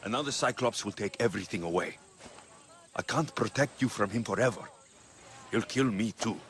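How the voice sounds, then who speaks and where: A man's voice: lowish voice, moderate pace, a bit bright. A man playing a young, wary mercenary in a video game, with a dry, sardonic way of talking. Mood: skeptical. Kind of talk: video game cutscene acting.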